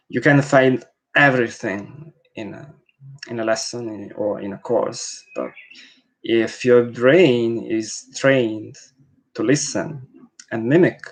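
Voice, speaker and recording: A man from Italy with an Italian accent, a language teacher answering viewer questions casually, thinking aloud with frequent pauses. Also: fairly low pitch, rough recording.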